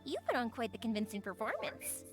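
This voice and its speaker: A woman's voice: a little high, fairly quick. A woman playing a cheerful, bubbly young firework girl in a video game, breezy and friendly. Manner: breezy, animated, giggly and friendly. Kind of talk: English video game dub acting. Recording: game music behind the voice.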